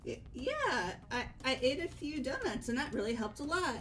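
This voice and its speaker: Girl doing sheepish friendly teen from a game, stammers, highish voice, bit far from mic. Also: big pitch swings, normal speed, fairly bright tone, soft background music. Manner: stammering, hesitant. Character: sheepish, friendly teenage girl who loves donuts. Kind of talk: amateur voiced video game playthrough.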